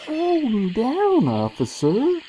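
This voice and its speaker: A smug, shady bear at the wheel, played by a woman, dodging a traffic cop with deadpan defiance in a comedy audio sketch. The voice is dark and mellow and slow, and it swoops wildly up and down in pitch. A faint hiss runs under it. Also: slightly rough, exaggerated voice. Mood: sly. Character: shady bear driver, secretly a man in a bear suit.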